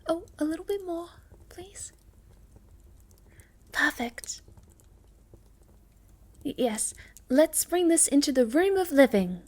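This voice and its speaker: A woman voicing a shy, sweet, curious young moth girl in an ASMR roleplay, speaking softly and politely with a hesitant stammer. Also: sits high, lively intonation, takes it slowly, pauses often. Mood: eager, polite.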